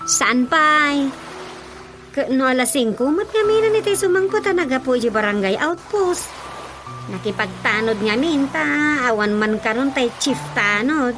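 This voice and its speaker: An actress playing a respectful, accommodating younger wife, polite and lilting, in an Ilocano radio drama comedy. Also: female voice, high-pitched, lively intonation, moderate pace. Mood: explaining. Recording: music behind the voice.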